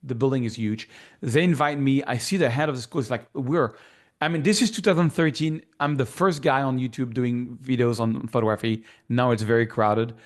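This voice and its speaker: A man's voice: mid-range voice, normal speed. A middle-aged man with a French accent, a guest in a casual interview, talking in an enthusiastic, rambling way full of fillers and restarts. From France. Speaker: guest photographer.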